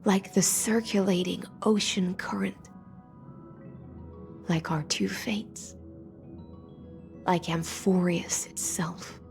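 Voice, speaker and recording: Slow, solemn oracle woman. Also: on the low side, fairly even intonation, vocal fry, darkish tone, soft background music. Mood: hushed, reverent. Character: solemn, enigmatic female oracle, poetic and grave. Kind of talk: scripted video game voice acting.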